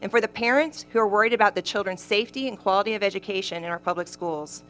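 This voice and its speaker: A woman with a Southern Tennessee accent gives a prepared campaign statement at a county forum. Her voice is bright and forceful, and she speaks in an earnest, measured way. A faint hum sits under the recording. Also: mid-range voice. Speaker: county commission candidate. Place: county government meeting room.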